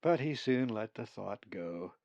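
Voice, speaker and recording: Male author-narrator for a storybook audiobook: gravelly, measured pace, dry and slightly dull sound. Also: fairly low pitch, lively intonation, darkish tone, no echo. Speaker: author reading own novel aloud.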